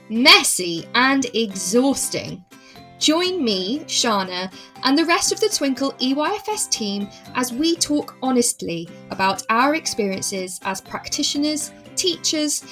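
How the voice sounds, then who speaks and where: A woman's voice: high-pitched, pushed and bright. A British woman hosting a podcast, bubbly and enthusiastic as she chats with guests. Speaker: podcast host. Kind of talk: lively podcast chat.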